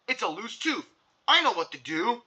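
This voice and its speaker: A man's voice: sits high, very animated, moderate pace, sharp tone, breathy edge, heavily acted. A man voicing a concerned, helpful mother in a children's storybook, cooing and reassuring. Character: concerned mother eager to help.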